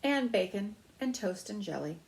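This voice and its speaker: A woman's voice: mid-range voice, lively intonation, moderate pace, vocal fry, darkish tone, voice pushed up, poor audio. A woman voicing a young boy, curious and boyish, in a storybook reading. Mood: tired. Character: young boy recovering in bed, curious and grateful.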